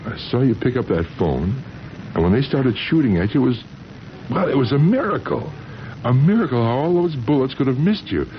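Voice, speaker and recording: A man acting in a 1970s radio drama as a shy, earnest, lovestruck engineer, speaking haltingly and eagerly. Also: medium pitch, sing-song, a little hiss or hum, lightly acted. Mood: urgent, lovestruck. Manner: halting, eager, repeating himself. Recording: dull vintage radio recording with music.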